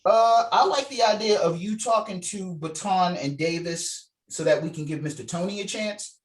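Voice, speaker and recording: A man's voice: high voice, normal speed, a little hiss or hum. A man leading a script read over a video call, talking casually and encouragingly and reading out scene directions. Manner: encouraging, informal. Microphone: video call microphone.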